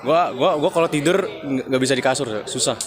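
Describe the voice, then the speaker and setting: A man's voice: medium pitch, brisk, music in the background. A young adult man introducing himself in a sheepish, hesitant way, with casual swearing. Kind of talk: casual group interview. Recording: group chatter around the speaker.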